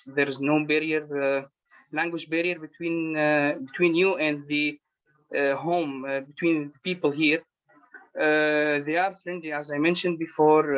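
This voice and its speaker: A man's voice: on the high side, a bit bright, clean tone, rough recording. Hesitant male student. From Lebanon. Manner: hesitant, many "uh" pauses. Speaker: first-year medical student guest. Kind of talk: casual chat.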